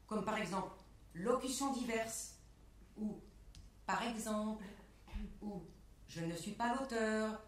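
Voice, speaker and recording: A woman delivering a scripted spoken exposé in a measured, carefully enunciated way, setting phrases apart like quotations. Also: mid-range voice, far from the mic. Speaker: performer in a spoken-word performance lecture. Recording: echoey room, picked up from afar.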